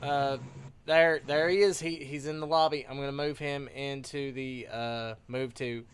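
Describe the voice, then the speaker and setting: A man hosting a live stream in casual chat, excitable and quick to laugh, often trailing off and restarting his sentences. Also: mid-range voice, clear, resonant tone, dry recording. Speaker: stream host.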